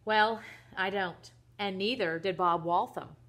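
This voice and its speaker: A woman's voice: mid-range voice, normal speed, fairly bright tone. A woman playing an emphatic, grumpy, exasperated dad. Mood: indignant. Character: grumpy, exasperated father scolding about his son.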